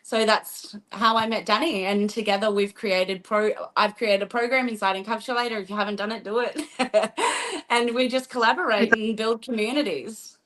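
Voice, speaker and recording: A woman's voice: fairly high pitch, steady tone, moderate pace, fairly bright tone. Aussie woman on a video call, earnest, opens up about herself. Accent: Australian. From Australia. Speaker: guest, intuitive coach.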